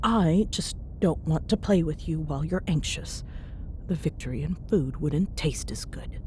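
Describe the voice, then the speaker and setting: A woman's voice: lively intonation, unhurried, darkish tone. A woman playing a teasing, bossy but fond young ghost hunter in an intimate ASMR roleplay, bantering sarcastically in a casual, murmuring way. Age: young adult. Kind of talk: ASMR audio roleplay, intimate one-sided chat. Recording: clear background noise with low rumble.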